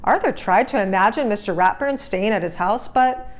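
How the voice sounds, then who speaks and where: A woman narrating a children's storybook aloud in an expressive read-aloud style. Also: mid-range voice, moderate pace.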